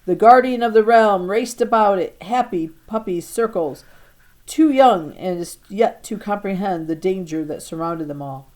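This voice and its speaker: A woman teacher reading a storybook aloud to her class, expressive, now and then stumbling and repeating a word. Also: medium pitch, normal speed. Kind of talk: storybook narration read aloud.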